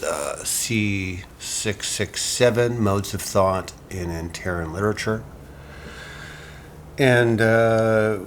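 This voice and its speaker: Slow, low-voiced male lecturer. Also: a little hiss or hum. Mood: casual, hesitant. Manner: casual and halting when talking, solemn when reading. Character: hesitant male classics lecturer reading an ancient epic aloud. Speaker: university lecturer. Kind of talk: lecture and measured literary reading in audio fiction.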